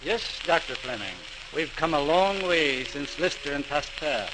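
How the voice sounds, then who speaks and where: An actor playing an older, encouraging, authoritative British medical mentor in oratorical 1940s radio style. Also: male voice, a little high, expressive, unhurried. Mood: earnest, rousing. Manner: earnest, oratorical. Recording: noisy, crackly 1940s radio transcription.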